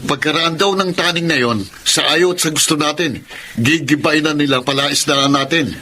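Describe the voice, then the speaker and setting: A man's voice: fairly high pitch, normal speed, sharp tone, a little hiss or hum. A man with a Filipino accent acting an anxious, defensive fisherman in a radio drama, urgent and pleading.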